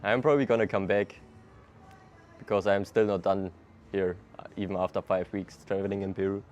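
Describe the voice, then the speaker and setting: Low-voiced young German man. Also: a little fast, highs cut off. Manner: relaxed, laughs often, loose non-native phrasing. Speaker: interviewee, traveler. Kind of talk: casual street interview. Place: outdoors in a city.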